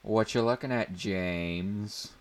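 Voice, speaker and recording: Man doing a high-pitched dorky teenage boy. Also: slow. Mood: excited. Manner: dorky, eager. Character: short scrawny dorky teenage boy, excitable loyal friend. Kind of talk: dramatic audiobook reading.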